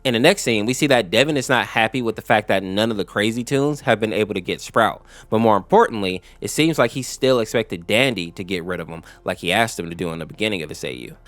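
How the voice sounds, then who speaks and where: A man's voice: lowish voice, quick pace, hardly any pauses, fairly bright tone, no echo, soft background music. A man narrating a story recap in a conversational, explanatory way, speaking directly to viewers. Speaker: YouTube lore explainer host.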